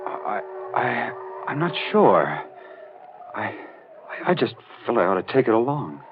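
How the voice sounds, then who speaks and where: An actor playing an uneasy, haunted American newspaper reporter in a 1940s radio horror drama, speaking with halting intensity. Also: male voice, mid-range voice, big pitch swings, noisy background. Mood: hesitant. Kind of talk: 1940s radio drama acting, brooding first-person narration. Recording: muffled old radio broadcast, organ-era music behind.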